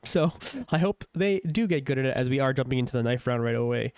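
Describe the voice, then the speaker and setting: A young man with a cold calls a shooter match on his own stream, rambling and chatty as he restarts his sentences. His voice sits mid-range, and loud gunfire and clicks from the game run clearly behind him. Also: medium pitch, animated, fast, slight background noise. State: has a cold, stuffy. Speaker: esports shoutcaster. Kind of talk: casual play-by-play commentary on a livestream.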